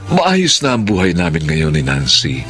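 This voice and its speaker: A man's voice: low-pitched, wildly swooping pitch, normal speed, over music. A man with a Filipino accent playing an earnest, devoted, repentant husband in an emotive radio drama. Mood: calm, sincere. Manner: emotive, sincere.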